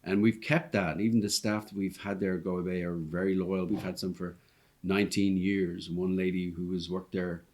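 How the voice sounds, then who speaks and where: Man, Irish Dublin accent, very deep voice, relaxed rambling guest, fifties. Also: normal speed. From Ireland. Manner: easygoing, rambling storyteller with frequent ums. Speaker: restaurant co-owner, guest. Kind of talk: casual interview chat. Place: inside one of his restaurants.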